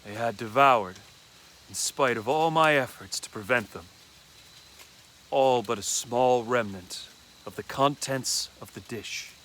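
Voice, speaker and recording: A man reading a classic horror story aloud as dramatic first-person narration, deliberately and with dramatic pauses. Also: medium pitch, fairly bright tone, a little hiss or hum.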